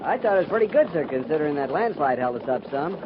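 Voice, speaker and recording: An actor playing an eager, deferential junior cavalry lieutenant in a 1950s radio western. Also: male voice, on the high side, animated, fast, close to an everyday voice. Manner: keen, deferential. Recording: muffled, clearly noisy 1950s radio recording.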